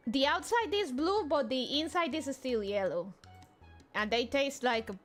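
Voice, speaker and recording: A woman's voice: sits high, expressive, soft background music. A young woman streamer reading through a game story aloud, with playful, joking asides. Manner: playful, jokey, quick asides. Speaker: VTuber streamer. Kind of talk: casual game readalong commentary.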